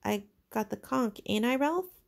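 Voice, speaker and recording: An indignant, put-upon schoolboy played by a woman reading a storybook, pitched high and creaky. He protests plaintively in colloquial speech, frightened and desperate to be heard. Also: animated, a little slow. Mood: pleading.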